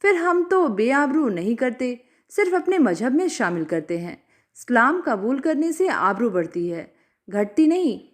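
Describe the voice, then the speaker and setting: A woman's voice: on the high side, sing-song, unhurried. A woman voicing a stern, menacing male cleric in a story, preachy and commanding. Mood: self-justifying. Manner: commanding, self-justifying, preachy.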